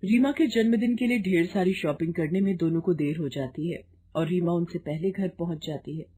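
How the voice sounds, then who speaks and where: Mellow, husky woman storyteller. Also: lowish voice, normal speed. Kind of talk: scripted story narration.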